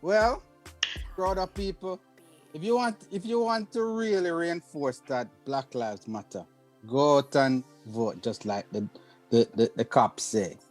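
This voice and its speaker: A man's voice: on the high side, measured pace, faint music behind. A man on a casual panel chat giving earnest final thoughts, urging people to vote with small jokes.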